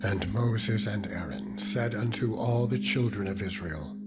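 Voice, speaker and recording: Slow, low-voiced man reading scripture solemnly. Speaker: narrator, Bible reader. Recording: loud meditative music with singing-bowl tones behind. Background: loud music, ringing singing bowl tones.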